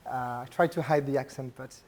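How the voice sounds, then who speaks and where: A man's voice: medium pitch, fairly even intonation, a little fast, a little mellow. A man with a French accent giving a conversational talk to a live audience at a business event, often putting questions to the listeners. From France. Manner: engaging, polls the audience with questions; conversational, frequent "uh" and "right?".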